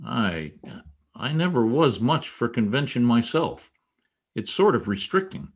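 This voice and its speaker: A man playing a vain newspaper columnist in an old-time radio comedy, blustering and easily flustered by flattery. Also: medium pitch, unhurried, slightly rough, exaggerated voice. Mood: smitten, pleased. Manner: animated, blustering, hesitant when flattered. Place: home, online video-call production.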